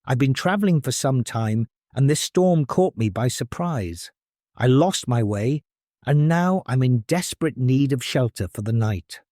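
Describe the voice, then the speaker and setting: A man voicing a refined young princess who speaks softly, clearly and politely, weary and earnest, in a storybook tale. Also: mid-range voice, lively intonation, measured pace, no echo, studio quality, close to an everyday voice.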